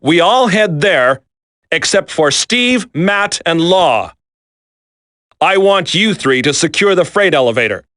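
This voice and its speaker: No-nonsense commando leader, game dialogue, higher-than-average pitch, authoritative, emphatic, dry sound. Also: male voice, animated, takes it slowly, a bit bright, no echo, exaggerated voice. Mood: focused, commanding. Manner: authoritative, clipped, emphatic briefing. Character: stern, no-nonsense male special forces team leader. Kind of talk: video game voice acting.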